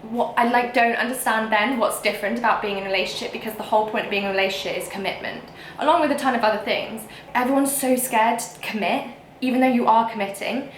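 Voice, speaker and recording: A woman's voice: on the high side, steady tone, fast, few pauses, roomy sound, a little hiss or hum. A young woman with a whiny voice, ranting frankly to camera in a casual vlog. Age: young adult. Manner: emphatic and frank, with frequent fillers. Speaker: vlogger.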